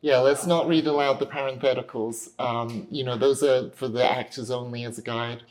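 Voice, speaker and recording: Brief: female director, table read host. Casual group briefing, halting delivery with plenty of fillers. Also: very deep voice, fairly even intonation, darkish tone, low-quality recording. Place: rehearsal studio.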